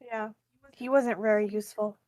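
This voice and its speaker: A woman playing a tabletop game, bantering casually in a deadpan manner. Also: mid-range voice, moderate pace, no echo, studio quality.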